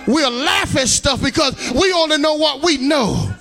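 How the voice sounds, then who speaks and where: A man preaching an impassioned sermon to a congregation, repeating phrases and calling for response, often half-singing or shouting them. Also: extremely high pitch, animated, moderate pace, vocal fry. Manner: exhorting, repetitive, call-and-response, half-sung shouted phrases. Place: live service in a sanctuary. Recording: music playing behind live preaching. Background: music.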